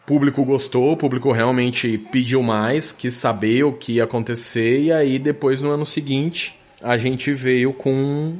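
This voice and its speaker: Brazilian guy as podcast guest, mid-pitched voice, casual and sweary, plays himself down. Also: fairly even intonation, a little slow, few pauses. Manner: informal, sweary, self-deprecating. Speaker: podcast guest, audio drama producer. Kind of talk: casual chat.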